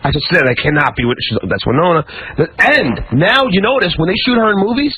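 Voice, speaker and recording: Drunk rambling man, lively and higher-pitched. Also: quick pace. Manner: rambling, repeats himself. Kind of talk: casual chat. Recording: dull lo-fi radio audio with clear noise.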